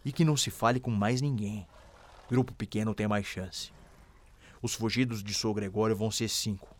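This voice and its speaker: A man's voice: fairly low pitch, steady tone, moderate pace, close to an everyday voice. An actor playing a determined, protective enslaved man in a radio drama, speaking firmly and colloquially. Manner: firm, colloquial. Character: determined enslaved man, protective older brother, escape leader.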